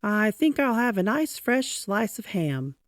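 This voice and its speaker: Woman reading as kindly, emphatic storybook gentleman. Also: medium pitch, big pitch swings, a little slow, dry recording, clean studio sound, voice pushed up. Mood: cheerful, obliging. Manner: polite, earnest, emphatic. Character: shy lonely man turned cheerful and kindly.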